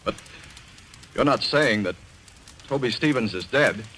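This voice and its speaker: A man's voice: mid-range voice, animated, measured pace, sharp tone. A man acting in an old-time radio mystery as an earnest, anxious young man trying to stay reasonable. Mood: urgent. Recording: noisy old radio recording.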